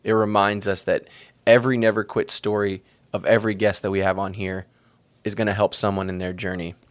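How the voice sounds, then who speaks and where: A man's voice: fairly low pitch, level tone, brisk. A man co-hosting a podcast, speaking in an earnest, encouraging way. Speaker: podcast co-host.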